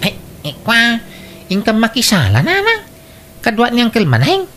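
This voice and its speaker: Woman acting as lazy defiant son, whiny, lively swooping pitch, Ilocano accent, radio drama, some noise. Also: normal speed. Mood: reluctant. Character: stubborn, whiny young son, a lazy gambler.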